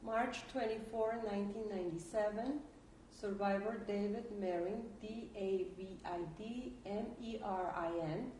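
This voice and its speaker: A woman's voice: mid-range voice, a little slow, warm tone, slight background noise, low-quality recording. A woman conducting an oral history interview, formal and careful, spelling out names.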